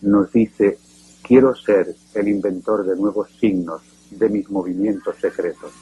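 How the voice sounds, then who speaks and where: A man narrating a documentary in a grave, deliberate scripted voice. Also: fairly low pitch, fairly even intonation, dark tone, highs cut off, a little hiss or hum.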